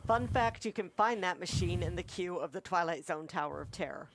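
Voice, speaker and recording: A man's voice: sits high, a little fast, gravelly, a bit bright. A man chatting casually as a co-reviewer, offering opinions and bits of trivia. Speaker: co-reviewer on a talk show.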